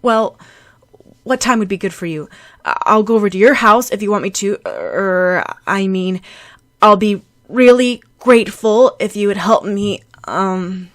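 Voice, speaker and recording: Woman acting a teen boy, awkward, halting, easily flustered, proud, mid-range voice, audiobook character. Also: mid-range voice, lightly acted. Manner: stammering, halting.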